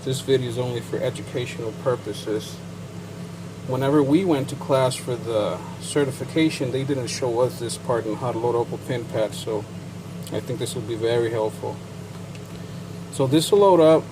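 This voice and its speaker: Easygoing Chicano technician explaining a setup. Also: male voice, medium pitch, moderate pace, noisy background. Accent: Mexican-American. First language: bilingual English and Spanish. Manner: relaxed, rambling, with fillers and asides. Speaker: certified payment terminal technician. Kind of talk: casual how-to demonstration.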